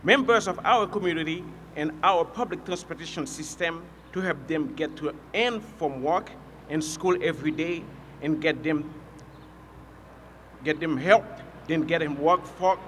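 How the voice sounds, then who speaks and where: Bus driver at a podium, bright, slightly high voice, echoing PA, light hiss. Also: male voice, unhurried. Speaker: bus driver and union member. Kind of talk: reading prepared remarks at an outdoor press event.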